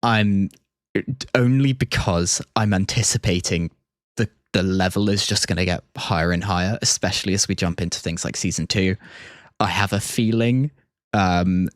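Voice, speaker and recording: A man giving casual livestream reaction commentary, enthusiastic and thinking out loud as he goes. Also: low voice, normal speed, no room sound, studio quality. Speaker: streamer. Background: music from game trailers.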